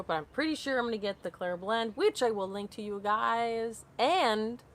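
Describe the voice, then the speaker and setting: A woman in her late thirties hosting a casual live stream, answering viewer comments aloud in a rambling way. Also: mid-range voice. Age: 30s. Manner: rambling, reads comments aloud and loses her train of thought.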